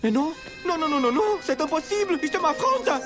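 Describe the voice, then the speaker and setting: A woman's voice: sits high, animated, quick pace. Woman doing a dramatic, affronted French performer. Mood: indignant. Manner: theatrical, affronted. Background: loud music.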